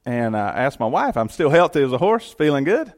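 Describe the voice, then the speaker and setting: A middle-aged man with a Southern Georgia accent tells his personal testimony to an audience. His delivery is earnest and folksy, and his voice sits at an ordinary middle pitch for a man. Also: big pitch swings, fairly quick. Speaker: county commission chairman.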